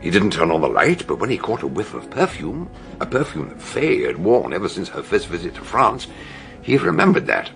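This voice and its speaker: An actor with a British accent playing a shrewd older detective doctor in a radio drama, telling his deductions with emphatic, dramatic pauses. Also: sits low, sing-song, gravelly, fairly bright tone, faint music behind, heavily acted. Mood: shrewd, intrigued. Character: shrewd, theatrical older male detective doctor explaining a murder.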